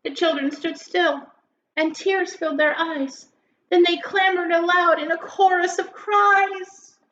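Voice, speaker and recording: A woman's voice: very high voice, steady tone, measured pace, a bit bright. A woman reading a children's story aloud like a grade school teacher, with theatrical, emphatic delivery. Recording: roomy echo, dull sound, faint noise.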